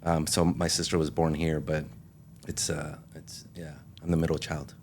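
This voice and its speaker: A man born in Mexico, a guest in a casual interview, telling his story in a relaxed way and laughing easily. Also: extremely low pitch, moderate pace, a little hiss or hum.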